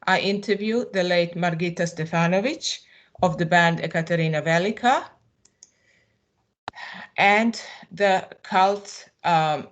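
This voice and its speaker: Serbian-accented woman, low measured voice. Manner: measured, reflective reading with hesitant fillers. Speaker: artist presenting at a symposium. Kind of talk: reading a prepared personal talk.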